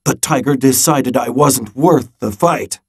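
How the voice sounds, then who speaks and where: A man reads as an old grandfather in a children's storybook, recounting a seafaring adventure. The voice is husky and slow, with dramatic, suspenseful pauses. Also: medium pitch.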